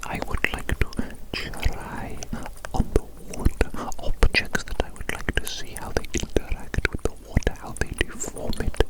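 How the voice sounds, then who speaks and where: A woman's voice: unhurried, rough recording. Whispering mad scientist woman, obsessively repetitive. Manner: theatrical, repeats phrases over and over. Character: obsessive evil mad scientist doctor, theatrical and grandiose. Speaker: ASMR roleplay performer. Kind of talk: whispered ASMR roleplay.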